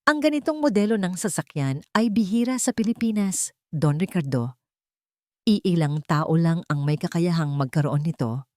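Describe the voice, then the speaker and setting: A woman's voice: lowish voice, lively intonation, normal speed, warm tone, no room sound, studio quality, close to an everyday voice. A woman voicing a respectful, formal male investigator in an audio story. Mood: grave, deferential. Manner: formal, deferential. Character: respectful male investigator reporting gravely to his wealthy boss.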